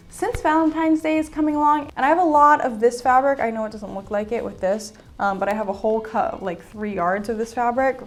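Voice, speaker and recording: A young woman with a slightly high voice talks through her plans in a casual, upbeat vlog style. She rambles warmly with plenty of "like" and "um" fillers. She mentions being a little sick, though she stays chatty. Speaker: sewing and fashion channel host. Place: indoors at home with sketchbook and iPad.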